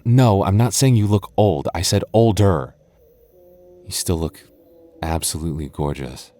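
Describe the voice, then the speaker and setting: A man playing a deep-voiced, teasing goth boyfriend. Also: unhurried, soft background music. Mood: affectionate. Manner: soft, intimate, playfully teasing. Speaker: voice actor. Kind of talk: boyfriend ASMR roleplay.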